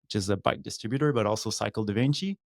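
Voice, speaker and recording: A man's voice: on the low side, fast, gravelly, dry recording, studio quality. A man from Quebec, a native French speaker with a Quebec French accent, casually telling his own story as a podcast guest. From Quebec, Canada. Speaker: podcast guest, former downhill mountain bike racer.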